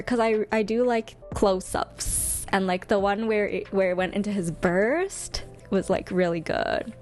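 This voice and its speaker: A woman's voice: medium pitch, darkish tone. A woman giving casual, chatty reaction commentary as host, with a playful, exclamatory manner. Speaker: host ranking game trailers.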